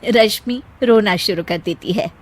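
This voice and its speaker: A woman's voice: mid-range voice, measured pace, heavy background noise. A woman narrating a scripted cartoon story as a storyteller.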